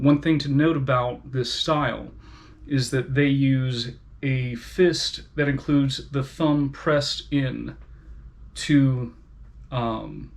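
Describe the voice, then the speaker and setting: A man hosting his own channel, giving a casual spoken book review with careful pauses and fillers. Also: medium pitch, vocal fry.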